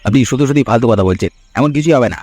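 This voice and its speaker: A man's voice: mid-range voice, very fast, darkish tone, no room sound, lightly acted. A man voicing a greedy, pushy younger village schemer in a cartoon fairy tale, insistent and needling. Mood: pushy, impatient.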